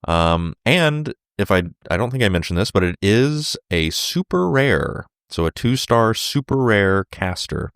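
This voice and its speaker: A man hosting his solo podcast while sick, with a sore throat and a fading voice, talking casually with many fillers and throat clears. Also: on the low side, sing-song, a little slow, hardly any pauses, a bit bright, no room sound, clean studio sound. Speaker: podcast host.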